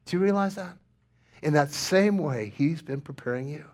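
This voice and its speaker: A man preaching a sermon, moving from loud, emphatic lines to hushed, near-whispered ones. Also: fairly high pitch, expressive, moderate pace. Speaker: pastor.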